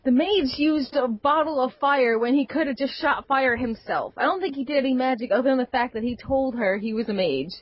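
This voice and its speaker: A woman's voice: sits high, a little fast, few pauses, no room sound, dull audio. A woman co-hosting a casual gaming podcast, rambling with lots of fillers and a sarcastic edge. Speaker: podcast co-host.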